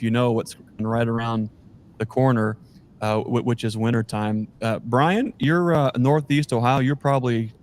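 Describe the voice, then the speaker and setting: A man's voice: medium pitch, darkish tone, a little hiss or hum. A man hosting a livestream in a casual, chatty style with guests. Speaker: host of a beekeeping livestream. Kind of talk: casual chat.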